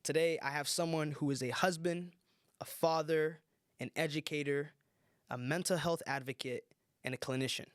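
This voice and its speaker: A man's voice: fairly high pitch, steady tone, normal speed, dry recording, studio quality. A young man hosting a podcast in a casual, upbeat way, laughing often. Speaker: podcast host.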